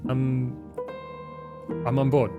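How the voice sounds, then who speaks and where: A man's voice: mid-range voice, completely flat delivery, slow, phone-quality sound, over music, natural voice. A man playing an informal, flippant young vampire in a tabletop roleplaying game. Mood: agreeable, unsure. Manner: casual, flippant.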